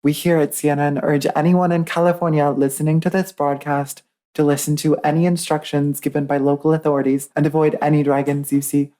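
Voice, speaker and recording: A performer voicing a grave female TV news anchor, giving a formal, measured breaking emergency report in a scripted drama. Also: medium pitch, flat delivery, dark tone, close to an everyday voice. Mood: grave, urgent.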